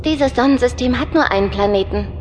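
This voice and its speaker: A woman's voice: fairly high pitch, a little fast, a little mellow, lo-fi sound, slight background noise, natural voice. A woman voicing a cool female onboard ship computer in a sci-fi audio drama, giving clipped, neutral announcements. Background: music.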